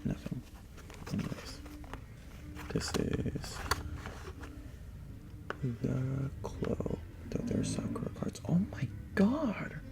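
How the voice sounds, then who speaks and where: Slow rambling ASMR whisperer. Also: medium pitch, huge pitch swings. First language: Tagalog. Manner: rambling, chatty, self-interrupting whisper with sudden breathy exclamations. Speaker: ASMR creator. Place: bedroom indoors.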